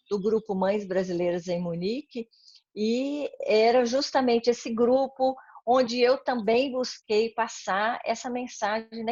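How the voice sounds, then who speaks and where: A Brazilian woman interviewed on a video call, telling stories with warm enthusiasm and frequent tag questions. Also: a little high, no room sound, lo-fi sound. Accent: Brazilian Portuguese. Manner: warm, enthusiastic storytelling with many "né?" tags. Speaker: interviewee, heritage language educator. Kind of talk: casual interview conversation.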